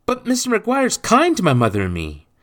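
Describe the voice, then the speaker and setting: A man's voice: sits high, huge pitch swings, normal speed, a bit bright, voice pushed up, full character voice. A man voicing a desperate, indignant young street boy in an audiobook, pleading and insistent. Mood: reluctant. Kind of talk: audiobook character voice.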